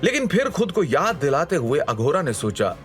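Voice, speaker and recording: A man's voice: a little high, big pitch swings, normal speed. A man narrating a scripted fantasy audio story in a dramatic storytelling style. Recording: music behind the voice. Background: background music.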